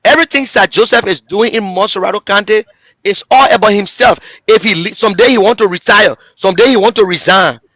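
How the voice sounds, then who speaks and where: A man's voice: high-pitched, brisk, pushed and bright, dry recording. A man with a Liberian English accent giving passionate political commentary as a talk show host, repeating lines and addressing listeners directly. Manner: impassioned, repetitive, rhetorical address to listeners.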